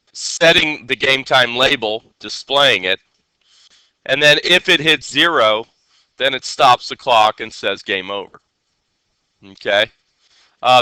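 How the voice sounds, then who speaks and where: A male teacher with a very bright, forceful voice, nasal from a head cold yet crisply clear. He explains coding to a class in an instructional way and keeps checking that students follow. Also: mid-range voice, no room sound, poor audio. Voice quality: nasal, congested. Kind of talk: live classroom coding lesson.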